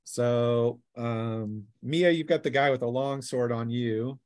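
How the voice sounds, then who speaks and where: A man's voice: mid-range voice, takes it slowly, a little mellow, no room sound, studio quality. Male game master, mid-range voice, informal table talk, narrating combat and rulings to players. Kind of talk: running a tabletop role-playing game.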